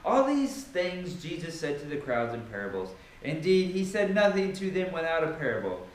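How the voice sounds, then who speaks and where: Very echoey recording, man, dramatic Bible reading, highly animated intonation, emphatic, theatrical pauses. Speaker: Bible reader. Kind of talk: dramatic scripture reading with informal asides. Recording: very echoey room with faint noise.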